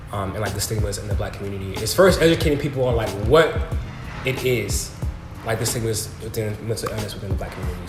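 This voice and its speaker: A young male host leading a relaxed panel talk, his voice bouncing around an echoey room. He speaks loosely, full of "like" and "um," and reacts lively to his guests. Music plays clearly behind him. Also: lowish voice. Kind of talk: casual panel discussion.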